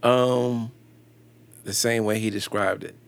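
A man's voice: lowish voice, steady tone, takes it slowly, vocal fry. A man in his fifties from Virginia and North Carolina, a podcast guest, talking casually in a laid-back, slangy way. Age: 50s. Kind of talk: casual conversation.

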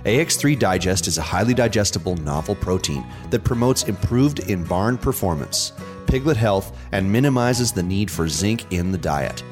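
A man's voice: lowish voice, expressive, normal speed. A man reading scripted promotional narration as an announcer, polished and emphatic. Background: music, guitar.

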